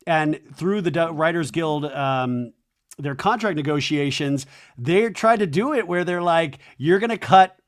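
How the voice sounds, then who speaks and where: Man, podcast host, higher voice, chatty, restarts a lot. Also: expressive. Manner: chatty, quick restarts and self-corrections. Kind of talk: casual pop culture chat.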